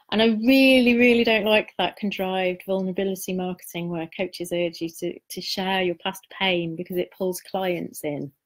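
A middle-aged woman giving an informal, personal live-stream talk as a coach, speaking in a reflective, confiding way with halting pauses and repeated words. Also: fairly low pitch.

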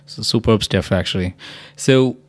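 Male host, fairly low voice, curious questions over a video call. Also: moderate pace. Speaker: podcast host. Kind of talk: casual interview questions.

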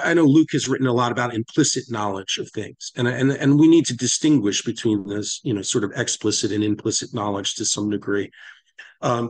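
A man hosting a podcast discussion, speaking in a halting, reflective way with philosophical phrasing. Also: medium pitch. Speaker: podcast host.

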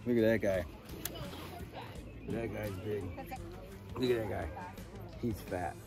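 American male vlogger, informal and excitable, addressing viewers directly; handheld phone outdoors, music underneath. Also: lowish voice, takes it slowly. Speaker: fishing vlogger. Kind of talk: casual excited vlog commentary. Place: outdoors by a lake and park.

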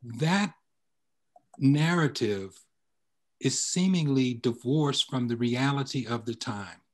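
An older man on a video call, explaining as a panelist in a measured, deliberate and emphatic way. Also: mid-range voice, a little mellow. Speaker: panelist, history researcher. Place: indoor room on a video call.

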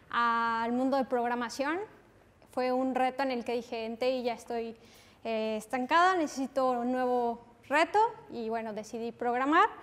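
A woman in her thirties from Mexico with a Mexican Spanish accent, introducing a conference talk earnestly with many hesitant fillers. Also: high voice. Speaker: conference speaker. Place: lecture room with audience. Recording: reverberant lecture hall.

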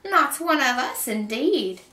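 Old village witch, read by a woman: indignant, scolding, pitched up high, storybook drama, echoey room. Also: very animated, takes it slowly, sharp tone, recorded from a distance, heavily acted. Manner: scolding, emphatic. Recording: roomy echo, some background noise.

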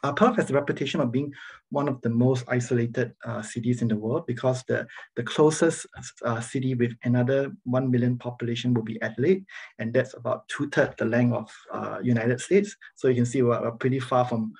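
A middle-aged Singaporean-accented man presents slides to an online audience as a guest academic. His voice has a creaky, slightly raspy grain. He speaks haltingly, often restarting his phrases. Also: mid-range voice, steady tone, darkish tone. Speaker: university professor, guest speaker. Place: online video meeting, speaking over slides. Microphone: video call microphone.

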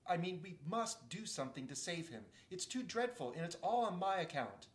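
A man doing a high, pleading young girl. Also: big pitch swings, normal speed. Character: anxious, kind-hearted young girl. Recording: roomy echo.

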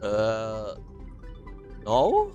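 Slow-talking Indonesian woman with swooping pitch. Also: huge pitch swings, dark tone, highs cut off, soft background music. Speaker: game streamer. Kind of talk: casual gameplay reaction.

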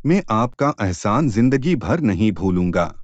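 Poor cartoon woodcutter, voiced by a male narrator: timid, humble, pleading, slow and expressive, closely dry. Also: on the low side, no echo, lo-fi sound.

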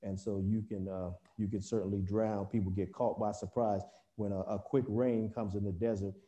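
Halting male preacher over a video call. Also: lowish voice, little pitch movement, mellow, dull audio. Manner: halting, many fillers and restarts.

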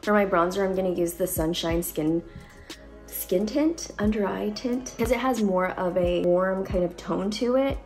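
Young woman beauty vlogger, cold-deepened voice, chatty, phone mic at home, faint music under. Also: normal speed, a little mellow. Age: young adult. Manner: chatty, lots of likes and ums. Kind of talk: casual makeup tutorial. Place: bright room at home. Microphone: phone camera at close range.

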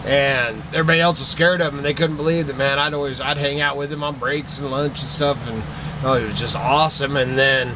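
A man's voice: mid-range voice, steady tone, brisk. Sentimental rambling man, noisy shop. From Idaho. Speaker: vlogger, antique picker. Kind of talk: casual chat to camera. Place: cluttered indoor shop.

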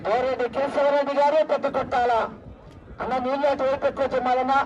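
A man giving live outdoor bull race commentary, exclamatory and rousing, with drawn-out whoops. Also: very high voice, little pitch movement, a little mellow, slight background noise. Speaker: announcer at a rural bull race. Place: outdoors at a village race ground. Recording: muffled outdoor PA sound from far off.

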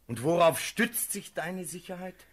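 Husky, grave, loyal friend. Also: male voice, high-pitched, lively intonation, fairly bright tone, full character voice. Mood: concerned. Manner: earnest, measured, urgent. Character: grave, anxious loyal male friend of the prince. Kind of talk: classical verse radio-play acting.

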